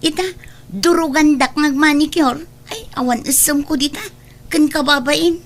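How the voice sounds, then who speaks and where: A woman's voice: high voice, moderate pace. A woman with an Ilocano accent playing a proud, jobless young mother who is a scolded daughter. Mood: sulky, defensive. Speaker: drama actress. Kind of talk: Ilocano comedy drama acting.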